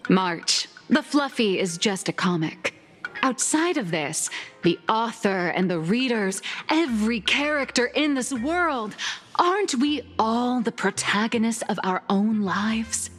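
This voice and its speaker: A woman's voice: on the high side, expressive, unhurried, fairly bright tone. A woman playing a theatrical young comic artist in an anime game, lecturing like a self-assured, mock-scholarly teacher. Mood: earnest. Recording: long reverb, music behind.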